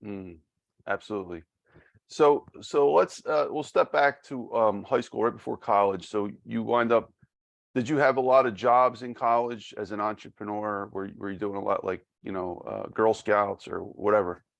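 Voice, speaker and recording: Guy hosting a podcast, low gravelly voice, encouraging, trips over his words a lot. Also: steady tone, normal speed. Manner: encouraging, stumbling restarts, chuckles often. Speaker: podcast host. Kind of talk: interview host.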